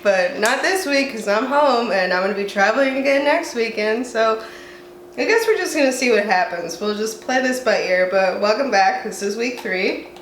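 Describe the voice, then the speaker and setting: A young woman from the southwestern United States, talking in a chatty, rambling way with a congested voice from a cold, at home. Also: fairly high pitch. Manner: chatty, rambling, self-mocking. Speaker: vlogger. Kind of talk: casual chat. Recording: roomy echo, faint room noise.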